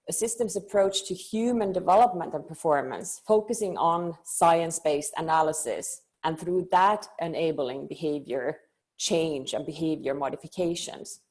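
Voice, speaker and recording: A middle-aged woman from Finland, giving an earnest conference presentation and pausing on frequent ums. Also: low voice, a little mellow. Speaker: company founder and conference speaker.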